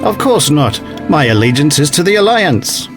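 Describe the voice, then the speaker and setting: A man's voice: fairly high pitch, sing-song, normal speed, over music. A man playing a troubled, dutiful space-fleet officer in a sci-fi audio drama, earnest and respectful but doubting.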